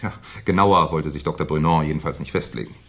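An actor playing a shrewd, sardonic Paris police inspector in a 1970s German radio crime play, deliberate and mock-courteous. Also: male voice, a bass voice, fairly bright tone, heavily acted. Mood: sardonic lecturing.

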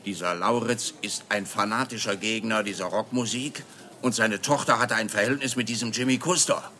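A smug, breezy cop in a radio drama. His voice is bright and forceful, brisk and sure of itself, over faint background noise. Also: fairly low pitch. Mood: insistent. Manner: brisk, self-assured. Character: smug, breezy male police officer. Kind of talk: radio play acting.

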